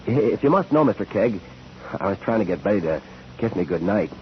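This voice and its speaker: An actor playing a frank young male witness, halting and a little embarrassed, in 1940s radio style. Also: on the low side, animated, lightly acted. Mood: reluctant, sheepish. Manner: halting, informal. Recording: dull, noisy 1940s radio recording.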